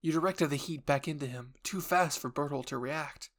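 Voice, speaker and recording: A man's voice: fairly high pitch, normal speed. A man reading dramatic scripted narration for a roleplay story, addressed to the listener in the second person.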